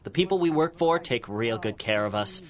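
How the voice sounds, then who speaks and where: A man's voice: mid-range voice, animated, normal speed, lightly acted. An actor playing a cynical, corrupt older policeman in a 1930s radio crime drama, taunting in folksy tough-guy slang. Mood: smug, taunting. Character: cynical corrupt older cop, menacing and mocking.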